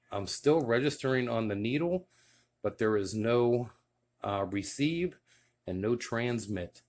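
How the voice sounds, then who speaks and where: A man casually showing off his radio gear in a relaxed, enthusiastic way, with many fillers. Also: mid-range voice, slight background noise. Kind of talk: casual show-and-tell vlog.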